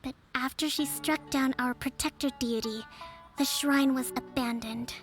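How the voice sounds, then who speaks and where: An actress playing a young divine priestess who leads her island, speaking in a composed, formal, gently authoritative way over soft game music. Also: high voice, steady tone, measured pace. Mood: calm. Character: young female divine priestess, calm and measured. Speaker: island leader.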